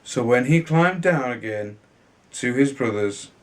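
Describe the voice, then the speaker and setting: A man reading a children's fairy tale aloud in a halting way, sometimes stumbling and repeating words. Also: mid-range voice, animated. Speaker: storybook reader.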